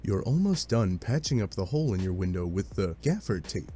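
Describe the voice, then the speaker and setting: A man's voice: deep voice, very animated, fairly quick, over music. A man narrating a scripted second-person story with a wry, dramatic storytelling manner, over faint hiss.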